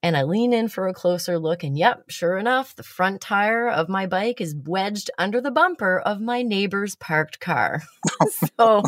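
A woman's voice: mid-range voice, lively intonation, a little slow, fairly bright tone, no room sound. A woman hosting a casual chat, telling stories about herself with blunt, self-mocking humor and the odd swear word.

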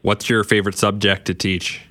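A man hosting a sports radio show who asks brisk, friendly questions. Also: fairly low pitch, flat delivery, bright, forceful tone, no room sound.

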